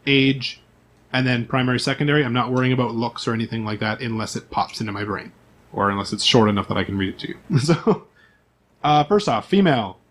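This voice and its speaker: A man acting as game master, reading out character profiles in an informal, list-like way with asides. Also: mid-range voice.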